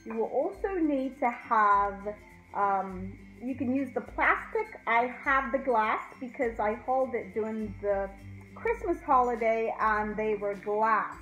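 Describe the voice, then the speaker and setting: A woman's voice: on the high side, measured pace, fairly bright tone, highs cut off. A middle-aged woman hosting a casual craft tutorial, chatting informally and directly to her viewers. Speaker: host of a craft channel. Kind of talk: casual DIY tutorial chat. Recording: roomy echo, faint music.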